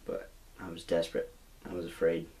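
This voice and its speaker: A man's voice: low-pitched, completely flat delivery, fairly quick, mellow, rough recording. A young man plays a glum young jailbird in a mockumentary comedy, rambling with hesitant restarts, recorded by a camera in a small bedroom. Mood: resigned. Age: young adult.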